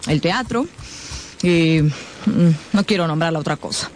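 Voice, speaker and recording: An actress playing a worldly, deferential housemaid confidante in a radio novel, plain-spoken and knowing. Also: female voice, sits low, unhurried, a little mellow, slight background noise. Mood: knowing, frank.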